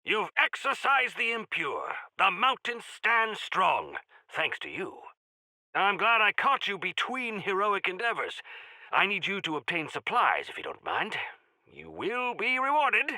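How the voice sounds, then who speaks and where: An actor playing a pompous, grandiloquent heroic quest-giver in a video game, with florid, theatrical phrasing. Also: male voice, high voice, huge pitch swings, a little slow, pushed and bright, no room sound, full character voice, poor audio. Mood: triumphant, grand. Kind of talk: video game character voice lines.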